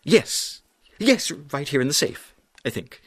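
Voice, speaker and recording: A man's voice: on the high side, big pitch swings, measured pace, fairly bright tone, slightly rough, no room sound, studio quality, heavily acted. An actor playing a stern, pompous, easily flustered male hotel manager in a radio drama. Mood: flustered politeness. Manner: pompous, officious, sputtering.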